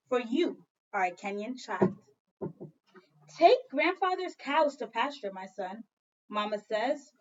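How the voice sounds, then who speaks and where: A woman's voice: fairly high pitch, highs cut off. A woman teaching second grade, reading a story aloud expressively and talking directly to young children with questions and repetition. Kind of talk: children's read-aloud lesson.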